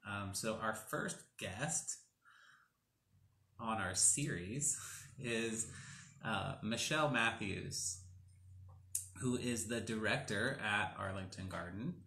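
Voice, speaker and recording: A man's voice: on the low side, slow, vocal fry, pauses often, pushed and bright. A man, casually hosting an interview on a video call from a small room. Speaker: interview host. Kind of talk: casual hosting, asking questions. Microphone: shared device at arm's length. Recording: roomy, echoing video call.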